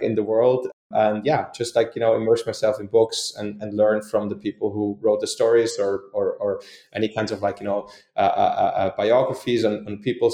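A man's voice: on the low side, a little mellow. Swiss guy rambling thru interview answers, lots of "like, you know", roomy echo. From Switzerland. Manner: rambling, many fillers and restarts. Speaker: guest, company co-founder. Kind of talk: casual podcast interview answers.

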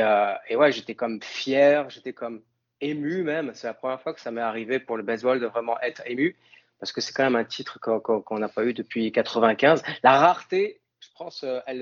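A man's voice: medium pitch, dull audio. A man with a northern French accent, a guest on a casual podcast chat, talking hesitantly with fillers and restarts. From northern France. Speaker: podcast guest, team fan.

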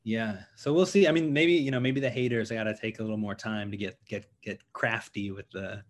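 A man's voice: on the low side, brisk. Modest rambling man novelist. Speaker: novelist being interviewed. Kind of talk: casual interview answers.

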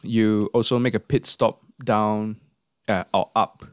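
A man's voice: fairly low pitch, little pitch movement, slow pace, clear, resonant tone, no room sound, clean studio sound. A man hosting a casual, conversational interview. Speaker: podcast host, interviewer.

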